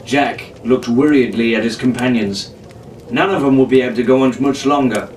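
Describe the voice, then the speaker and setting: A man narrates an adventure story in a theatrical pirate-captain manner. His voice sits at a middle pitch. It comes from a little way off the mic in an echoey room, with faint noise underneath. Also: normal speed, darkish tone, recorded from a distance. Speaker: storyteller reading an adventure novel aloud.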